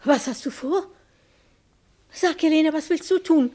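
An actress playing a timid, devoted, sentimental widow in a German radio play, plaintive, submissive and hesitant in manner. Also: female voice, high voice, measured pace, dark tone. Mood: worried.

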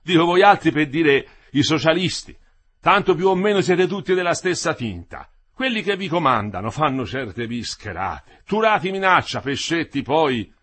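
A man voicing a middle-aged male building contractor, smooth-talking and paternal, speaking up in a raised, persuasive voice. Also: a little high, sing-song, moderate pace, fairly bright tone, heavily acted. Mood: firm.

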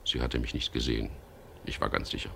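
A man's voice: rumbling low, fairly even intonation, quick pace, vocal fry, pushed and bright, a little hiss or hum, full character voice. An actor playing a wry, uneasy adventurer who narrates his own story in a radio crime play, dry and reflective. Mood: watchful, wry. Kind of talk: first-person radio thriller narration.

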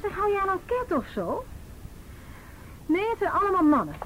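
High-voiced, sarcastic young woman. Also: sing-song, normal speed, a bit bright, a little hiss or hum, heavily acted, rough recording. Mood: guarded banter. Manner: sarcastic, mockingly repeating words. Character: sharp-tongued, sarcastic young educated woman, defiant. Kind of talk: naturalistic radio drama acting.

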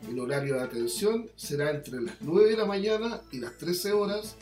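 Man, Chilean accent, news presenter, scripted delivery, slightly high voice, roomy echo. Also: darkish tone. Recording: roomy echo, music bed. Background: background music.

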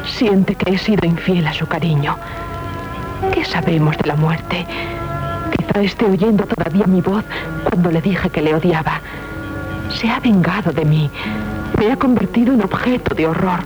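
A woman's voice: fairly low pitch, moderate pace, pauses often, lightly acted. Woman playing tormented young noblewoman, Castilian accent, solemn theatrical delivery, guilt-ridden, 1970s radio play, muffled recording, music behind. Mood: anguished, guilty. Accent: Castilian Spanish. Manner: dramatic, solemn, anguished.

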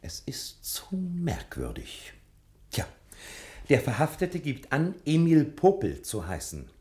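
A man's voice: a little high, wildly swooping pitch, slow, warm tone, exaggerated voice. A man acting a dogged, formal detective in a radio play, speaking in an emphatic, clipped manner. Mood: baffled. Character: dogged male detective, puzzled and frustrated, formal.

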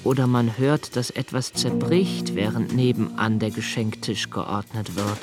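An actor playing a reflective, melancholy diarist in a literary radio play, speaking intimately and pensively with halting phrasing. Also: male voice, mid-range voice, fairly even intonation, loud music behind the voice, natural voice. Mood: reflective, wry. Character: reflective, melancholy male diarist recalling love and childhood.

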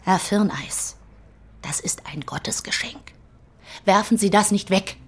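Radio play: insistent, exasperated woman publisher, medium register, brisk and sarcastic. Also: mid-range voice, breathy edge, whispered. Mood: pleading, firm. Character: pushy, exasperated Berlin woman publisher badgering her author. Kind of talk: German radio play acting.

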